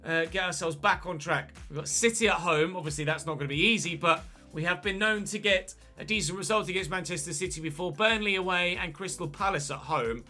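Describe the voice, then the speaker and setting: A man commentating his football video game in a chatty way, breaking into excited shouts at big moments. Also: sits high, a little fast, soft background music. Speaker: gaming channel host.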